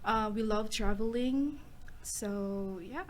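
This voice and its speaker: A woman's voice: medium pitch, takes it slowly, slight background noise. A young woman, an international student, speaking hesitantly with many fillers in casual chat. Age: young adult.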